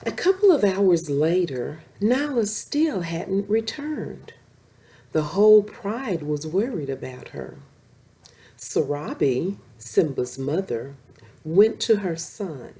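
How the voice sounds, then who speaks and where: A woman reading a children's story aloud in an expressive storytelling style, explaining as she goes. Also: lowish voice. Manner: pauses to explain pictures to listeners.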